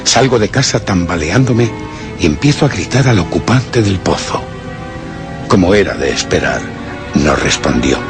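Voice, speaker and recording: An actor playing a tormented, guilt-ridden gentleman narrating his descent into madness in a horror radio drama, with a brooding literary delivery and a Castilian accent. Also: deep voice, animated, moderate pace. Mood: despairing, unhinged. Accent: Castilian Spanish. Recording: music bed behind.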